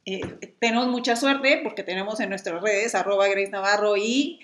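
A chatty Mexican woman with a bright, forward voice, co-hosting a podcast and teasing her partner as she talks. The room around her is echoey. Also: mid-range voice, normal speed. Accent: Mexican Spanish. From Mexico (Mexicali, Baja California). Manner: chatty, laughing often, playful teasing. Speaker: podcast co-host. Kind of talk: casual chat.

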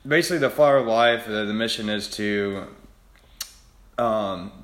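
A man's voice: lowish voice, normal speed, a bit bright. A young man hosting a podcast in an informal, easygoing, loosely phrased chat. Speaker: podcast host. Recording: echoey room.

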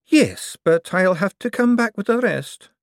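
A man playing a nervous young buyer, anxious and strained, pushed higher than the narration. His pitch jumps around a great deal. The recording is dry and close. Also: lively intonation, measured pace, no echo.

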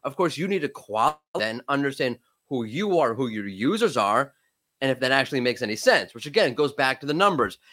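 A man's voice: medium pitch, big pitch swings, pushed and bright. Man in his thirties with a cold. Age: 30s. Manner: emphatic, quick, frequent self-restarts. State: has a cold, voice cracking. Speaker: podcast guest, SEO expert. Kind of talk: casual expert discussion.

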